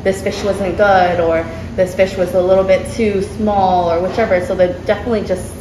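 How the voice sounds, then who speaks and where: A woman talking casually in an interview about her family's sushi restaurant, chatty and quick to laugh, often restarting her sentences. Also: medium pitch, level tone. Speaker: restaurant owner. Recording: echoey room with background noise.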